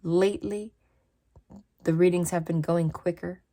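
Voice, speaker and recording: A woman tarot reader from New Jersey, chatting casually and frankly to her viewers from a desk at her parents' house, with a natural vocal fry and a slightly raspy voice. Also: fairly low pitch, steady tone, a little slow, dark tone. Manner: chatty and rambling, frank asides.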